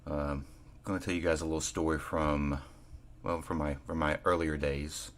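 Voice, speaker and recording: A man voicing a stoic young half-orc male cleric in a low, slightly gravelly voice, halting and flat with many pauses.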